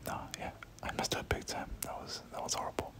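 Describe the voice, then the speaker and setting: A person whispering casual, slangy ASMR gameplay commentary, muttering reactions and repeating short phrases. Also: brisk. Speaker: gaming video creator.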